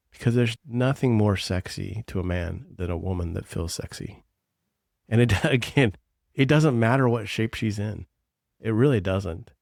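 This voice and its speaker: A man's voice: low voice, creaky, darkish tone, no echo, studio quality. A man co-hosting a podcast as a coach, talking in a blunt, teasing, earnest way. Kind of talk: casual podcast chat.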